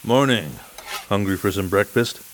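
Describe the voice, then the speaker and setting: A man's voice: lowish voice, expressive, normal speed, very noisy, lightly acted. A man playing a laconic, protective rifleman and trainer in an audio drama. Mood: matter-of-fact.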